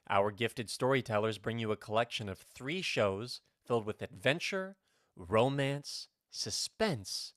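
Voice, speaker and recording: A man's voice: medium pitch, a bit bright, no room sound, clean studio sound. A man giving a scripted introduction as host of a vintage-style radio show. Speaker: host, announcer.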